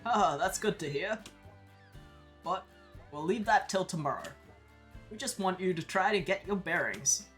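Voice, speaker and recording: A woman's voice: fairly low pitch, expressive, measured pace, pauses often, fairly bright tone, faint music behind. A woman voicing a friendly older male carpenter who talks in a homespun drawl, with "howdy" and "ain't". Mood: folksy.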